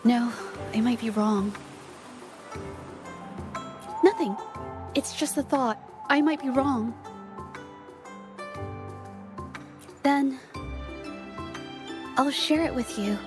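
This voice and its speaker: A woman's voice: high voice, unhurried, long pauses, music in the background. A woman voicing a shy, earnest, self-doubting young woman painter in a video game, hesitant and apologetic. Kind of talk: dramatic game voice acting.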